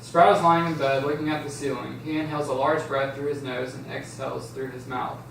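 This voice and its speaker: A man's voice: mid-range voice, little pitch movement, a little fast, vocal fry, a bit bright. A man reading out stage directions as narrator in an amateur table read. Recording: echoey room, distant mic, faint noise.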